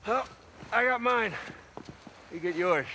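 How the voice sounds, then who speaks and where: A man's voice: high voice, animated, takes it slowly, bright, forceful tone, a little hiss or hum, heavily acted, low-quality recording. An actor playing a cocky, joking male adventurer talking offhandedly.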